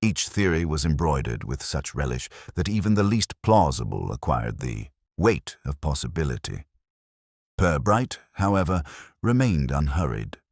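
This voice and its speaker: A man gives a measured, wry reading of a detective story. His voice is extremely deep, yet his pitch moves expressively through the lines. The recording is dry and close, with almost no room sound. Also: sing-song, no echo. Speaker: narrator. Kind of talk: scripted mystery narration.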